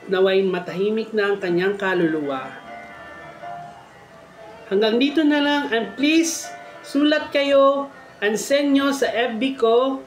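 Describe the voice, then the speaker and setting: A man's voice: high-pitched, roomy sound, poor audio. A man hosting a story show, reading listeners' horror tales aloud. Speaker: storytelling host. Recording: music bed behind the voice. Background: faint music.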